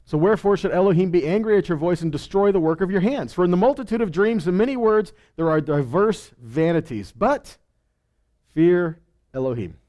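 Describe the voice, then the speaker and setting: Male Bible teacher, slightly high-pitched, conversational sermon style, mixes scripture reading with plainspoken commentary and rhetorical questions. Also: lively intonation, fairly quick, dark tone. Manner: conversational, punctuated with rhetorical questions and check-in words.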